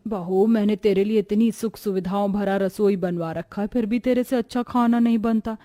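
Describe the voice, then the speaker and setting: A woman's voice: mid-range voice, fairly even intonation, normal speed, dark tone, no room sound, close to an everyday voice. A woman voicing an older mother-in-law in a cartoon story, admonishing in a matronly, exasperated manner. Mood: scolding. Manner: scolding, matronly.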